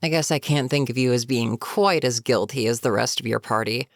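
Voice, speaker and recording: A voice actor playing a bitter, menacing goblin captain in a fantasy audio roleplay, speaking to a captive with sardonic, unhurried menace. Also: mid-range voice, dry recording, clean studio sound, lightly acted. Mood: wry, probing. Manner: sardonic, unhurried, matter-of-fact threats. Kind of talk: scripted fantasy audio roleplay, addressing the listener.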